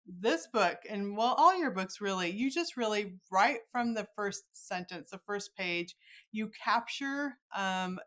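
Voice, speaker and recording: A woman's voice: medium pitch, lively intonation, a little slow, a bit bright. A woman hosting a podcast, chatting with a guest in an enthusiastic, gushing way. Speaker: podcast host interviewing an author. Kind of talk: chatty interview.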